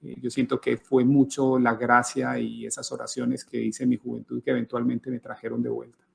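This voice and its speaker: Man, middle-aged, from Colombia, testimony interview, gravelly, breathy edge, hesitant restarts. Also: medium pitch, little pitch movement, moderate pace. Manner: thoughtful, frequent hesitations and restarts. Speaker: guest giving testimony.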